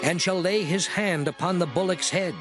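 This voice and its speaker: An actor playing a solemn lawgiving voice in a dramatized Bible reading, declaiming scripture. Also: male voice, sits high, normal speed, close to an everyday voice. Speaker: scripture reader. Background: music under the voice.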